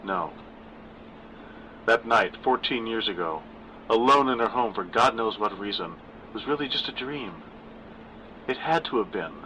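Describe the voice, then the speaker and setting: A man reading a dark short story aloud in a measured, wry way. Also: fairly low pitch, expressive, a little hiss or hum, low-quality recording. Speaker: narrator. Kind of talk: scripted story narration.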